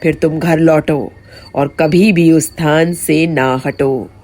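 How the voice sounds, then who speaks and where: A grave, authoritative male mystic as a woman acts him out for a cartoon folk tale. The delivery is solemn and full of warning, pitched well down and slow. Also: steady tone, dark tone. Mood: solemn, instructing.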